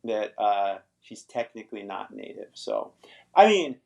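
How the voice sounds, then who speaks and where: A man's voice: on the low side, sing-song, a little slow, gravelly, a little mellow. A middle-aged man hosting an English-teaching livestream, chatting casually with viewers and rambling, often tagging "right?" onto his points. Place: Los Angeles.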